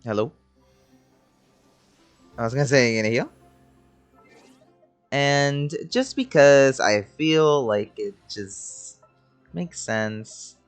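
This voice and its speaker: A man's voice: mid-range voice, very animated, slow pace, pauses often, clear, resonant tone. A young man giving casual gameplay commentary as a streamer, with slangy, sweary, jokey phrasing. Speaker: gaming streamer. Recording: game music under commentary.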